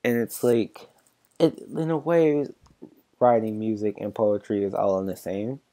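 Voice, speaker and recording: A man of twenty-four with a soft voice, hosting a podcast in casual rambling chat, halting and full of fillers and restarts. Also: lowish voice, fairly even intonation. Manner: halting, filler-heavy, many restarts and likes. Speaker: podcast host.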